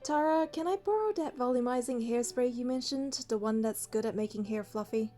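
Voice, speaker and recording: A woman's voice: fairly high pitch, fairly quick, dark tone, faint music behind, close to an everyday voice. A woman voicing a young female hunter and bodyguard, narrating a romance game in an earnest, lightly teasing way. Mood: fond, playful. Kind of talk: scripted first-person game narration and dialogue.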